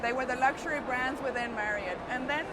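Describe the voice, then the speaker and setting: A middle-aged woman from Spain, an executive telling her career story in a relaxed interview, speaking fluently with some fillers. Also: high voice, a little fast, a crowd in the background. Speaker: hotel company executive. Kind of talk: conversational interview. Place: busy trade show floor. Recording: loud background noise at a trade show.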